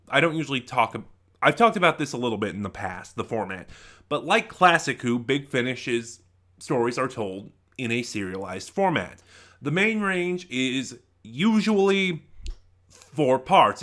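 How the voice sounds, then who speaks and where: A man giving a casual, rambling review, halting and correcting himself, with deliberate emphasis on key words. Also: mid-range voice, sharp tone. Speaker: audio drama reviewer.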